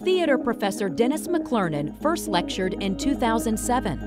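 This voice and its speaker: Woman, American accent, TV reporter, scripted documentary narration, expressive intonation, music underneath. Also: fairly high pitch, moderate pace.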